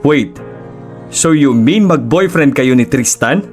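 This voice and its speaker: A man's voice: medium pitch, animated, takes it slowly, over music. Man playing a jovial middle-aged godfather. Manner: jovial, easygoing. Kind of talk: radio drama acting.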